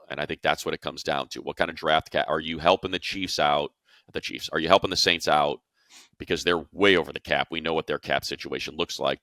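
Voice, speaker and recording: A man with an American accent and a very low, bright, forceful voice talks through sports analysis as a co-host. He reasons aloud in a conversational way, checking in with his listeners as he builds a point. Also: no echo, clean studio sound.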